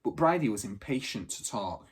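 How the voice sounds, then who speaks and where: A man reading a children's story aloud in an expressive, dramatic narrating style. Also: lowish voice, moderate pace, husky.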